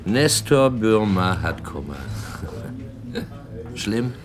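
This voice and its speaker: An actor playing a detective's easygoing drinking friend, casual and teasing, in a noir radio drama. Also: male voice, fairly low pitch, lively intonation, very slow, loud music behind the voice. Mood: wry, curious.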